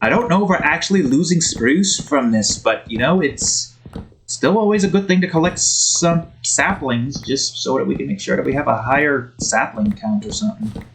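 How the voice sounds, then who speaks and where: A man's voice: mid-range voice, normal speed, gravelly, fairly bright tone, rough recording. A man hosting a game video with casual, rambling commentary in a put-on Scottish accent that wavers. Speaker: gaming video host. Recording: faint background noise.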